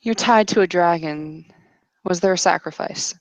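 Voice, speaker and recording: A woman's voice: lowish voice, normal speed, no room sound, natural voice, poor audio. A woman playing a probing, grief-hardened female companion in a roleplaying game, pressing and solemn. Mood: insistent, grave.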